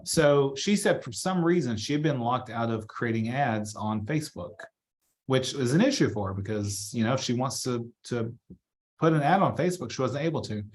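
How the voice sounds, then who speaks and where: A man giving a casual software walkthrough as a trainer, chatty and informal, thinking aloud as he works. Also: medium pitch, expressive, normal speed. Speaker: real estate trainer.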